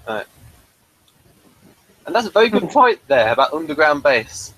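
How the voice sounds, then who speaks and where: A man's voice: a little high, sing-song, normal speed, a bit bright, a little hiss or hum, low-quality recording. A man hosting a casual chat reviewing a TV episode, easygoing and a bit hesitant. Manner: easygoing, chatty, a little hesitant. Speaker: podcast host.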